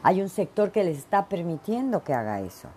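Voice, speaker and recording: A woman's voice: fairly low pitch, big pitch swings, a little fast, warm tone, slight background noise. A middle-aged woman from Veracruz, hosting her own show and giving blunt, emphatic opinions in a solo monologue full of fillers. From Mexico (Veracruz). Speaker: podcast host.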